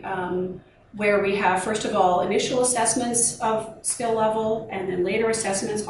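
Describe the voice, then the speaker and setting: Woman lecturer explaining stuff at a workshop, super echoey, noisy recording. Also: medium pitch. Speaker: university lecturer. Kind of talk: workshop presentation to colleagues. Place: meeting or seminar room. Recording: echoey room, distant mic, noisy background.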